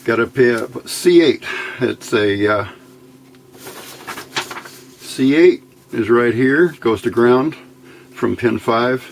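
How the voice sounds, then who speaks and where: Male hobbyist for hands-on tutorial: gravelly, very slow delivery, short muttered fragments, homemade workbench recording. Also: medium pitch, slight background noise. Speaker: electronics hobbyist demonstrating a build. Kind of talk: casual hands-on tutorial, talking while working. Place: indoor workbench.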